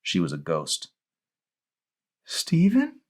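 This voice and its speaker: A man's voice: low voice, normal speed. A man reading his own novel aloud as a dramatic audiobook narration.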